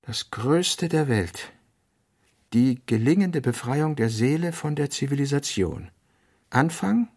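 A male actor in a literary radio play, voicing a brooding thinker who works through sketched notes in halting, pensive fragments with emphatic bursts. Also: mid-range voice, vocal fry, darkish tone, slightly rough, heavily acted.

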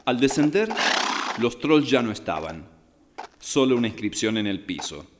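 A man's voice: medium pitch, big pitch swings, normal speed, background noise. A man narrating a radio drama in a scripted, dramatic style. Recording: reverberant hall sound. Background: faint music.

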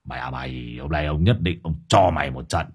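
A man voicing a gruff, crude male sidekick who grumbles and curses. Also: a bass voice, moderate pace, faint music behind, pitched below the performer's own voice, full character voice. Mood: angry.

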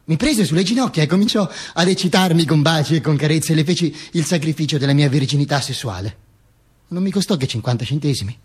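An actor playing a vain dead dictator in a theatrical radio monologue, halting and pompous as he tells his own story. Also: fairly high pitch, animated, a little mellow, slightly rough. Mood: boastful. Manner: halting, hesitant, with pompous self-regard.

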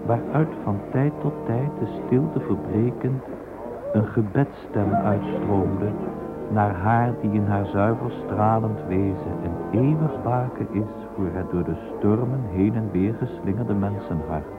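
An actor playing a florid, sentimental storyteller, narrating a radio play in a theatrical, flowery way. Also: male voice, on the low side, natural voice. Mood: reverent, lyrical. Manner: theatrical, flowery, deliberate phrasing. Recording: noisy, tinny old radio recording.

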